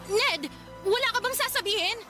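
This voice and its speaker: A hurt young Filipino woman who is confronting and sarcastic. Her voice is very high and swings widely with each emphatic phrase. It is radio drama, with clear music underneath. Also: animated, normal speed. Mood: angry, hurt. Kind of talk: Tagalog radio drama acting.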